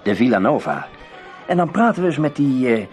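A man playing a cool amateur investigator in a radio drama, questioning in a terse, measured manner. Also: medium pitch, very animated, darkish tone, soft background music, natural voice. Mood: serious, decisive. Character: cool, probing male amateur investigator named Tim.

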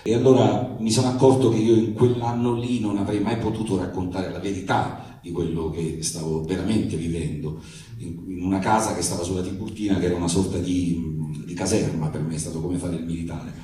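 A man talks informally, as an author introducing a reading. His phrasing is halting and self-correcting. The tone is dark, and the room rings with heavy echo around him. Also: low-pitched, fairly even intonation. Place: echoey room, picked up from afar. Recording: very echoey room, distant microphone.

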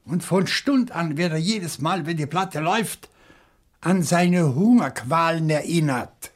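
Husky, deliberate professor sleuth. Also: male voice, fairly high pitch, animated. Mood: explaining, insistent. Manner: deliberate, theatrical, reasoning step by step. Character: shrewd, indignant male professor turned amateur sleuth. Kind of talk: German radio crime play acting.

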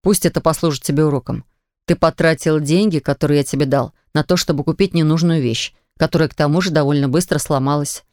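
A woman doing a gruff exasperated father. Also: low voice, fast, a little mellow, no room sound, clean studio sound, pitched below the performer's own voice, close to an everyday voice. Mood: lecturing. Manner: stern, exasperated, lecturing. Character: irritable tired father of a young boy. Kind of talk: audiobook character reading.

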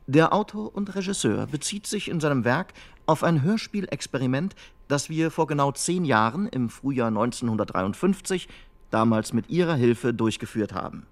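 A man's voice: mid-range voice, animated, normal speed, natural voice. Casting: man playing 1960s continuity announcer, formal scripted delivery, mid register. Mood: formal, neutral.

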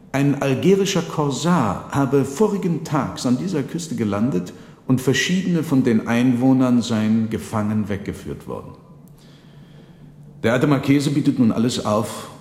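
Radio drama: man playing an eloquent trickster conjurer, deliberate, formal storytelling, set in a reverberant echoing space. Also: on the low side, a little mellow, slight background noise, lightly acted. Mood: grave, suspenseful. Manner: measured, dramatic, formal diction. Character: theatrical trickster conjurer recounting his tale, deliberate and eloquent. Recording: echoey reverberant hall sound.

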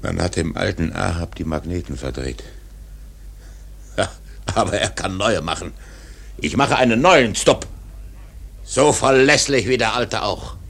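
Proud old ship's captain: deep, unhurried, imperious and grandiose, for literary radio drama. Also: male voice, lively intonation, gravelly, fairly bright tone, exaggerated voice. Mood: defiant, assured. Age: older. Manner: grandiose, commanding. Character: proud, defiant old male whaling ship captain.

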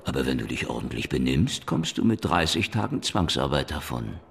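A gravelly, deep tough cop. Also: male voice, sing-song, moderate pace, exaggerated voice. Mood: menacing. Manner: menacing, dry, interrogating. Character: tough, sardonic veteran cop. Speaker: police detective. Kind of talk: radio play acting.

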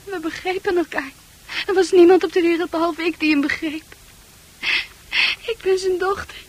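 A woman's voice: very high-pitched, steady tone, normal speed. An actress playing a fragile young woman in a radio play, pleading and anguished, afraid she is going mad. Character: fragile, frightened young woman who fears madness. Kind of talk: Dutch radio play acting.

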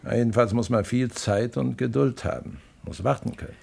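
An actor playing a worldly, dismissive embassy attaché in a radio play, lecturing emphatically. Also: male voice, medium pitch, fairly quick, creaky, darkish tone, breathy edge. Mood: emphatic, lecturing.

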